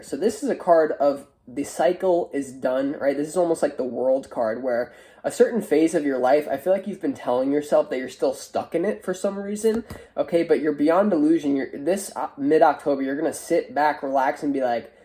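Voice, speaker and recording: A tarot host with a soft, dark-edged, mellow tone and a mid-range pitch. The nose sounds blocked, giving a nasal, stuffy color. The delivery is informal and chatty, feeling its way through a spiritual card reading. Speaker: tarot reader, online show host.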